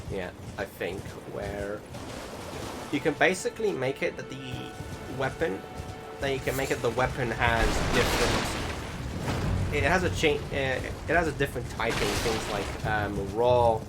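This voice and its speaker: Male gaming host, middling pitch, casual and rambling, halting delivery, prominent music behind. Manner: hesitant, rambling, word-searching. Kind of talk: casual voice-over game commentary.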